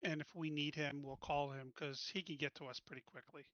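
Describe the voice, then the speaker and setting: A man with a fairly high, bright, forceful voice lays out his group's plans in a tabletop game with decisive ease. His sound is dry and a bit dull. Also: steady tone, brisk, no room sound. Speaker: player. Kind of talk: casual chat in a tabletop game.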